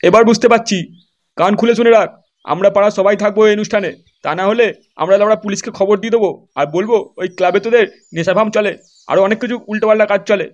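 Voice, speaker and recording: A nosy old neighbourhood uncle from a comedy cartoon, acted by a man. He grumbles pushily in a high voice and talks fast. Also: fairly even intonation, highs cut off, lightly acted. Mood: nosy, pushy. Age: older.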